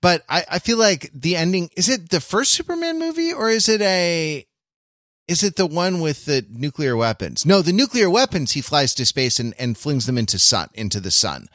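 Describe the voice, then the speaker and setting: Halting, bookish man, dry close sound. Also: a little high, very animated, fairly bright tone, dry recording. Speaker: podcast host. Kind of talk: casual discussion.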